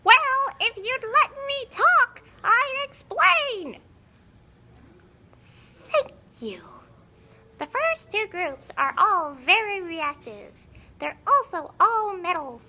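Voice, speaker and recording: A woman's voice: very high voice, expressive, slow pace, sharp tone, a little hiss or hum, heavily acted. A woman voicing a grumpy, conceited old talking puppet who lectures impatiently in an educational puppet show. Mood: exasperated, scolding. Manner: haughty, exasperated, scolding, lecturing.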